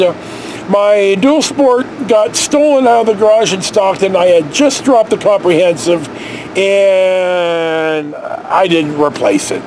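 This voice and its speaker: Middle aged american guy, kinda high voice, telling stories casually, noisy background. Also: a little mellow. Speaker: storyteller vlogger. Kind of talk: casual rambling personal storytelling to camera. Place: inside a motorhome.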